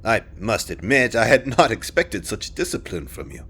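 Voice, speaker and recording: A man's voice: lowish voice, sing-song, normal speed, bright, forceful tone, husky, heavily acted. A man playing a haughty, disdainful king in a fantasy audio drama, with a pompous, condescending manner. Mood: smug, scornful. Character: haughty, disdainful king, imperious and old-fashioned.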